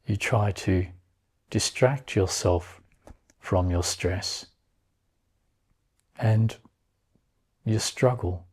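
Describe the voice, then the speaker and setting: A man guiding a meditation in a calm, unhurried manner, leaving long pauses between short phrases. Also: lowish voice, breathy edge. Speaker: meditation guide. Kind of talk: guided meditation.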